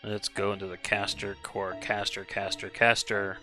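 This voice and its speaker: A man giving casual, rambling live-stream commentary while playing a game, slightly hoarse and often correcting himself. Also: on the low side, completely flat delivery, moderate pace, over music.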